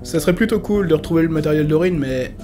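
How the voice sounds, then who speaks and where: A man's voice: fairly high pitch, fast talker, dark tone, faint music behind, close to an everyday voice. A man playing an easygoing, slightly dim holidaymaker in an amateur audio drama, hesitant and placid.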